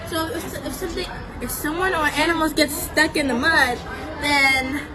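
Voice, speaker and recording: A woman's voice: high-pitched, moderate pace, low-quality recording. A young girl presenting in class, rambling with lots of "so basically" and "like". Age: child. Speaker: student presenter. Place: large indoor hall. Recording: crowd chatter and noise behind.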